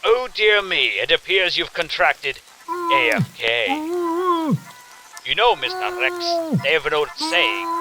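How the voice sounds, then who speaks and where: A man's voice: sits high, exaggerated voice, low-quality recording. A man playing a sinister, theatrical festival host in an audio drama, taunting with mock politeness and gleeful menace. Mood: quietly menacing.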